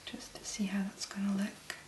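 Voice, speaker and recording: A woman speaking very softly and breathily in a gentle, unhurried ASMR manner as she talks through a demonstration. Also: medium pitch, monotone, reverberant. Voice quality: whispery, breathy. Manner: gentle, unhurried, murmuring to the listener. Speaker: ASMR video host. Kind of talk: soft-spoken ASMR make-up demonstration.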